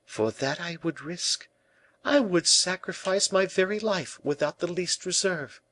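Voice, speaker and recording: A man's voice: high voice, measured pace, a bit bright, dry recording, voice pushed up. A man voicing an earnest, devoted young noblewoman of a royal court, pleading in formal speech for an audiobook. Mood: devoted, impassioned.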